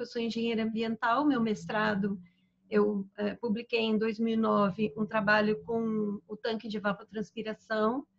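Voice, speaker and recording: A woman's voice: on the high side, dull audio. A Brazilian woman in her fifties, a permaculture teacher, introducing herself casually on a web seminar and talking with lots of fillers. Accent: Brazilian Portuguese. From São Paulo, Brazil. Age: 50s. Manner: rambling, with many "é" and "né" fillers. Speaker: permaculture teacher and environmental engineer. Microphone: video call.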